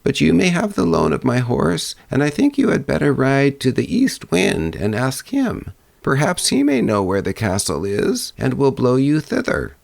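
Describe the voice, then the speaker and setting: A man's voice: mid-range voice, wildly swooping pitch, normal speed, creaky, no echo, pitched above the performer's own voice, exaggerated voice. A man voicing an aged, helpful fairy-tale old woman who instructs in a singsong manner. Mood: helpful, instructing.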